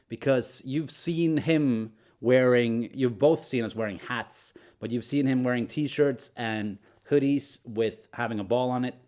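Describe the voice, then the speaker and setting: A man's voice: mid-range voice, darkish tone. A man co-hosting a podcast in casual chat, joking and playful, with frequent fillers. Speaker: podcast co-host.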